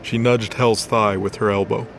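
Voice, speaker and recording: Low, even-toned male storyteller. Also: moderate pace, slight background noise. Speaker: narrator. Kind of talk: scripted story narration.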